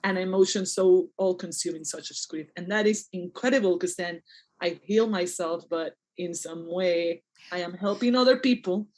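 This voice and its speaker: A woman's voice: fairly low pitch, normal speed, darkish tone. A woman dancer and choreographer, a podcast guest, speaking thoughtfully in bursts and laughing often. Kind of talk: reflective interview conversation.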